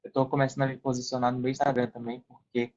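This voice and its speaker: A slightly muffled podcast recording of a young Brazilian man with a medium-pitched voice. He explains his work casually, in the manner of a guest, and keeps adding little tag questions. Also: monotone, quick pace, a little mellow, slight background noise. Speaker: podcast guest, online affiliate marketer working in support. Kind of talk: casual interview talk.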